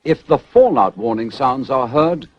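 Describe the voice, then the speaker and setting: British male announcer for vintage civil defence narration: formal and measured, widely varied intonation, muffled band-limited audio. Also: mid-range voice, expressive, no room sound. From United Kingdom. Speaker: public information film narrator. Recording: dull, band-limited 1970s film soundtrack.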